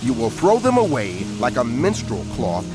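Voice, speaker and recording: An earnest prophet narrating a scripture drama. He proclaims in a measured, mid-pitched voice with lively, wide intonation. Music plays clearly behind him. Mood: proclaiming. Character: prophet narrating, earnest male herald of God.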